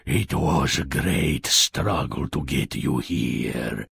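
An actor playing a sinister, scheming puppet-maker father in a video game, gravelly and menacing. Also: extremely low pitch, slow pace, fairly bright tone, heavily acted. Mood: sinister, scolding. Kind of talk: theatrical video game acting.

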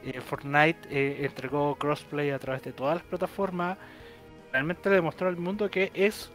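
A man's voice: on the high side, flat delivery, normal speed, a bit bright, faint music behind. A Chilean man co-hosting a video game news stream over a video call, arguing his points with many restarts. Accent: Chilean Spanish. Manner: opinionated, thinks aloud with restarts. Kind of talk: casual panel discussion. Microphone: headset on video call.

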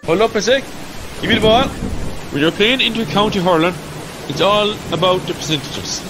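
Bossy Irish team coach, male actor, radio play: high voice, clipped orders, loud background noise. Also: expressive, moderate pace. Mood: brisk, instructing. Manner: clipped, repeated instructions. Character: brisk, bossy hurling coach drilling girls' team. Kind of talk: amateur radio drama acting. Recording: very noisy outdoor-like ambience.

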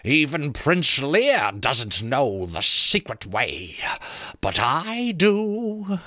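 A man voicing a spiteful undead skull with a creaking, rattling voice and slow, teasing delivery, close to the mic. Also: medium pitch, big pitch swings, sharp tone, no echo, pitched above the performer's own voice, full character voice. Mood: taunting.

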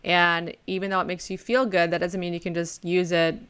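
A woman in her forties hosting a podcast over a video call, friendly and casual, laughing easily and filling pauses with um and uh. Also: fairly low pitch, flat delivery, a bit bright, clean tone. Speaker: podcast host. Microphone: close condenser mic.

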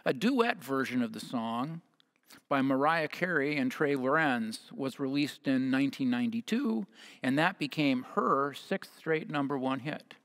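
An American man in his fifties preaching a sermon as a pastor, speaking in measured short phrases, partly reading from notes. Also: mid-range voice, lively intonation, fairly bright tone. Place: church sanctuary at a lectern. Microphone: headset mic.

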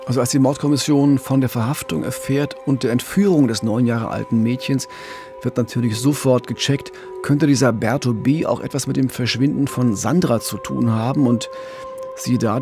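Male podcast co-host, German accent, mid-pitched with some creak, conversational true-crime storyteller over a music bed. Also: moderate pace, darkish tone.

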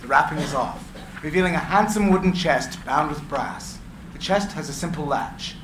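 Man briskly reading stage directions. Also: on the high side. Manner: brisk, matter-of-fact. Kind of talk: reading a screenplay aloud. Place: gallery room with seated audience. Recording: echoey room, clear hiss.

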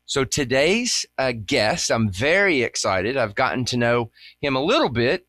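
A man's voice: medium pitch, fairly bright tone, dry recording. A man hosting a podcast, speaking in a friendly, casual way with many ums and uhs. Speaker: podcast host. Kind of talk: podcast interview.

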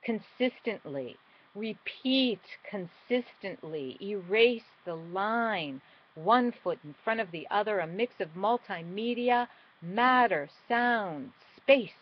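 Slow, dramatic woman reciting spoken-word poetry. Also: mid-range voice, expressive. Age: middle-aged. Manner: dramatic, deliberate phrasing with emphatic swells and hushed drops. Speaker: poet. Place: small indoor room at home. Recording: dull, lo-fi webcam sound.

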